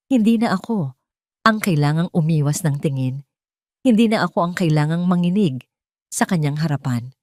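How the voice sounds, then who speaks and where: A woman reading scripted first-person narration of a dramatic story in a measured, solemn way. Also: low voice, darkish tone, no room sound, clean studio sound.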